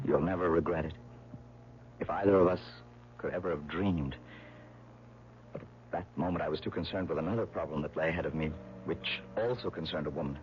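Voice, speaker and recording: Earnest young painter, tinny radio sound. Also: male voice, deep voice. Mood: hushed eager, then brooding. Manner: hesitant, stammering. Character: earnest, nervous young male painter in love. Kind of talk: 1970s radio drama acting with first-person narration. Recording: tinny, noisy old radio broadcast.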